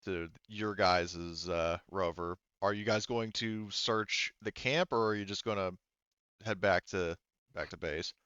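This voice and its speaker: Male game master, casual and halting, smooth tone, close dry sound. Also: deep voice, steady tone, fairly bright tone, no echo, dull audio. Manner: halting, informal, sweary. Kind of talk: casual improvised narration of a tabletop roleplaying game.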